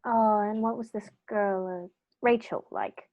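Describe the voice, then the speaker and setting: A woman playing an eager, brisk male detective in a 1930s-style radio play, theatrical and self-assured. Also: medium pitch, steady tone, dark tone, highs cut off, lightly acted. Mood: inquisitive.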